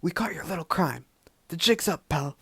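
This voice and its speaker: A man playing a cautious, gruff police commissioner with a husky voice in an amateur superhero radio play. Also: fairly high pitch, lively intonation, moderate pace, fairly bright tone, no echo, heavily acted. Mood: wary, then commanding.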